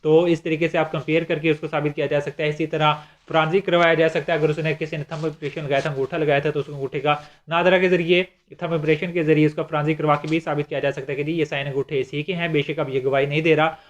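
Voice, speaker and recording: Male lecturer, Pakistani accent, fairly high register, conversational explainer who restates points, lo-fi sound. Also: flat delivery, quick pace, hardly any pauses. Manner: conversational, repeats and rephrases points. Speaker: law lecturer. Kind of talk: explanatory lecture in simple terms with examples. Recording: dull, lo-fi microphone sound.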